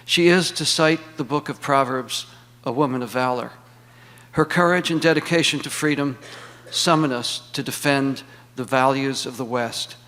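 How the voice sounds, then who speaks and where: A man speaks as the host of a formal event, reading a prepared introduction. His voice sits at a medium pitch and carries the long reverb of a hall. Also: normal speed, fairly bright tone. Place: large hall, public event.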